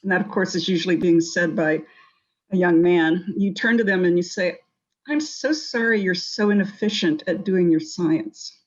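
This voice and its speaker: A woman's voice: lowish voice, moderate pace, highs cut off. A woman giving advice on a discussion panel in an assertive, wry and humorous way. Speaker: round table panellist.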